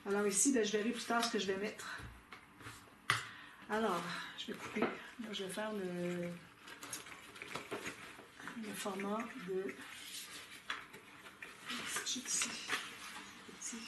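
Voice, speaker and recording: Québécois woman hosting a craft tutorial, chatty, recorded far off in an echoey room with loud noise behind. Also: mid-range voice, fairly even intonation, slow pace, long pauses. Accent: Québécois French. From Quebec, Canada. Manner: chatty, thinks aloud with many "euh" fillers and small laughs. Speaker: scrapbooking tutorial host. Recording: roomy, off-mic, with loud background noise.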